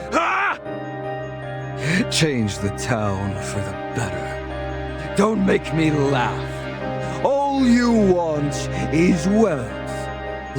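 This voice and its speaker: A scornful villain lord from a fantasy game. His voice sits fairly high and grinds with creak, drawn out very slowly. He sneers and mocks theatrically, with cruel relish. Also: heavily acted. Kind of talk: dramatic video game voice acting.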